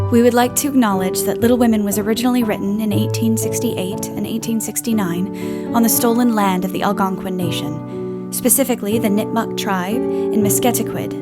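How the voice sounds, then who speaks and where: A woman with a medium-pitched voice reads a scripted introduction as artistic director of an audio play. Guitar music plays loudly behind her. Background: loud guitar music.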